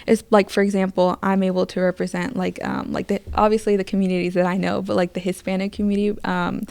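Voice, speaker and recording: A teenage girl chats through her answers as a podcast guest, using plenty of "um" and "like" fillers. Her voice is smooth and clear, and the sound is dry and close. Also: mid-range voice, little pitch movement, fast talker, darkish tone, no room sound. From United States. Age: teens. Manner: chatty, many "um" and "like" fillers. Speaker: guest, youth council member. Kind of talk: casual interview answers.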